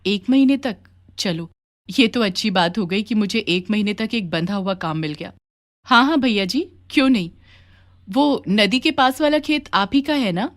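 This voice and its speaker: A woman's voice: mid-range voice, animated, normal speed, close to an everyday voice. A woman voicing a kindly, hardworking village mother in a children's story, polite and affectionate. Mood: eager, polite. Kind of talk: acted dialogue in a children's moral story.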